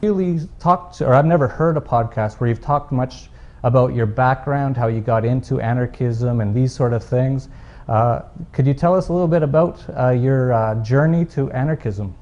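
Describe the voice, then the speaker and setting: A man's voice: mid-range voice, moderate pace, a little mellow. A male podcast host with a mid-range voice asks his guest a question, his phrasing loose and full of fillers. The recording sounds dull and lo-fi. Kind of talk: asking an interview question.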